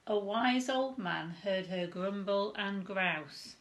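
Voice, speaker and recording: A woman reading a rhyming bedtime story to children with expressive, deliberate phrasing. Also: medium pitch. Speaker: primary school staff reading a bedtime story.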